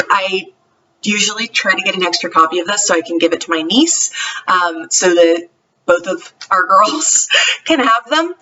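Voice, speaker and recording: A woman's voice: mid-range voice, sharp tone, recorded from a distance, lo-fi sound. A woman talking casually and enthusiastically, like a homeschool vlogger reviewing books. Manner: chatty, enthusiastic. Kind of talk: casual vlog book review.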